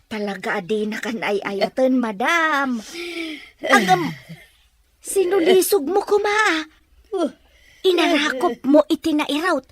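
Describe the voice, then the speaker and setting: A woman's voice: high voice, slow pace, pauses often, no echo. A woman with a Filipino accent playing a nosy, cheeky maid in an Ilocano audio drama, with exaggerated, teasing delivery. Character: nosy, cheeky maid attending her madam.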